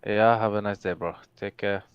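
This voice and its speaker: Man on a voice call, very low, smooth, dry and muffled audio, brief replies. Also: fairly even intonation, normal speed. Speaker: coaching student. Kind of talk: casual chat over voice call.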